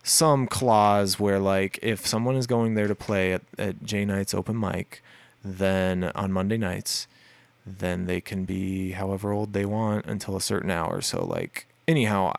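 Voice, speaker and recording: A deep-voiced man in a relaxed interview chat. He rambles, piling up fillers and restarts. His low voice has a gravelly edge, and the recording is dry and close. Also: measured pace, no room sound. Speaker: musician guest. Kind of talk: casual conversational interview.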